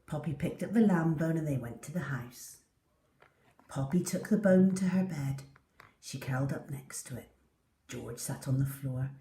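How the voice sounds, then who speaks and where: A woman reading a children's storybook aloud in an animated, dramatic way. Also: sits low, normal speed, distant mic. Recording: echoey room.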